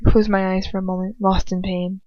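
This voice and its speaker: A woman gives a dramatic first-person reading of fiction. Her voice sits at a medium pitch, as an amateur storyteller's narration. The recording is dry and a little lo-fi. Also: flat delivery, a little fast, dry recording. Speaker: amateur audiobook reader.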